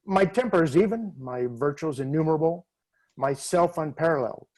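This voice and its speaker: Man voicing a conceited young beau, pompous and slow, lightly raised voice. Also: fairly high pitch, darkish tone, lightly acted, low-quality recording. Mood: haughty. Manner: pompous and haughty, lingering on words. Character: vain conceited young gentleman suitor. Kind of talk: dramatic reading over video call. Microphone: video call.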